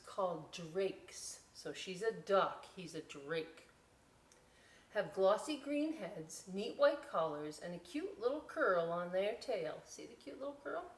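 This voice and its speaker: A middle-aged woman hosts a children's read-aloud at a slow, deliberate pace. She stresses key words and drops into folksy, chatty asides to explain them simply. Her voice sits back from the microphone in a roomy, echoing space. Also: fairly low pitch. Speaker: library storytime host reading aloud. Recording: roomy echo, distant microphone.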